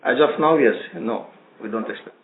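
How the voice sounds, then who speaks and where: A man, a company executive, answering analysts on an earnings call in a halting way, full of "uh" fillers. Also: medium pitch, gravelly. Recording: tinny phone line with faint noise.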